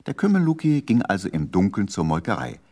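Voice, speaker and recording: A man's voice: deep voice, very animated, brisk, gravelly, darkish tone, heavily acted. A man narrating a children's radio play in a playful, dramatic storytelling manner. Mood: suspenseful.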